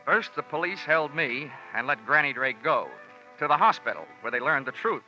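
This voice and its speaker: An American actor playing a tough, wisecracking insurance investigator with a wry, snappy delivery in an old radio detective drama. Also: male voice, on the high side, animated, normal speed, over music, natural voice. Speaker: lead character and narrator. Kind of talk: 1950s radio detective drama, hardboiled narration. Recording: dull vintage radio broadcast recording.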